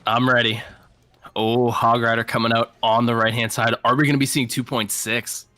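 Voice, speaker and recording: An American man works as an esports caster, analysing the play as it happens. His voice is bright and forceful, at an average male pitch. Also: little pitch movement, normal speed. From Massachusetts, United States. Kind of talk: analytical live game commentary.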